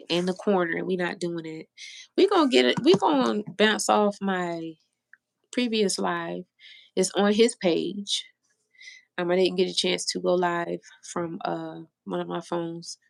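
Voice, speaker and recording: A woman's voice: lowish voice, vocal fry, dry recording. A woman hosting a casual live stream who sounds a little stuffy. She chats with her viewers and reads their comments aloud. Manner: chatty, talks to viewers in the comments. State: stuffy nose. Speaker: livestream host.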